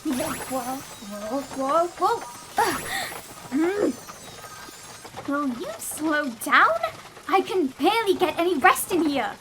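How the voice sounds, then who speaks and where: Actress as bossy young woman, very high, swooping intonation, emphatic, weary, audio drama. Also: lively intonation, takes it slowly, background noise. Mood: irritated. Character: bossy, weary young female traveler in command.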